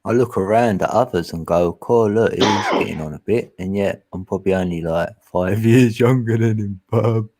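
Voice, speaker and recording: A man's voice: fairly low pitch, moderate pace, few pauses, darkish tone. A man in his late forties chatting casually on a live stream, laid-back and easygoing with frequent chuckles. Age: 40s.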